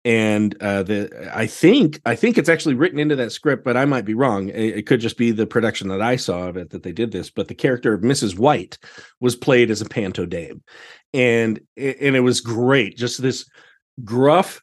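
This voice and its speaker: Animated male guest telling casual anecdotes. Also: medium pitch, moderate pace. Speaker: podcast guest, theatre director.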